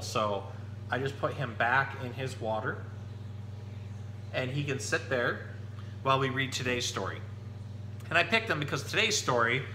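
A man in his thirties presenting to children as an enthusiastic, explanatory storytime host. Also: medium pitch. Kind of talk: casual educational talk for children, picture-book reading. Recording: roomy echo, steady background hum.

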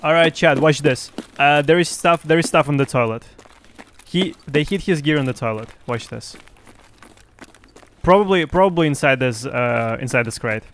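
A man's voice: fairly high pitch, moderate pace. Young male streamer, Russian first language, boastful and chatty with his viewers, headset mic, light background noise. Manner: excited, boastful, talks to chat. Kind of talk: live gameplay commentary.

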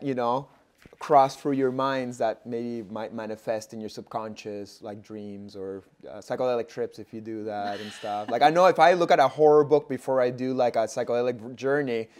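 A man's voice: medium pitch, darkish tone, smooth. A young man hosting a podcast in an art studio, talking in a laid-back way with lots of "like" and "you know". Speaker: podcast host. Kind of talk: casual interview chat.

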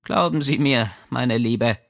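A man's voice: medium pitch, takes it slowly, vocal fry, no echo, studio quality. A man voicing a haughty, imperious lady with a condescending, commanding way of speaking in an audiobook. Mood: smug. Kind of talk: audiobook character dialogue.

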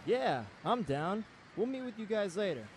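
A young man acting an excitable beach guy in a student radio comedy. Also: sits high, normal speed. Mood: agreeable. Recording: live radio studio, noisy, off mic.

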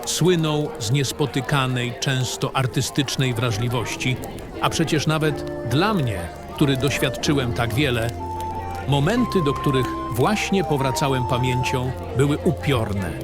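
A man's voice: mid-range voice, unhurried, loud music behind the voice. A man giving a grave, dramatic first-person narration as a war-weary, cynical baron in a fantasy audio drama. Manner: grave, literary storytelling.

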